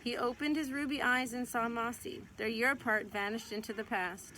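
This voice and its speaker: A woman reading a children's picture book aloud in an expressive storytelling way, stretching out some words. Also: fairly high pitch, normal speed, a little hiss or hum, low-quality recording.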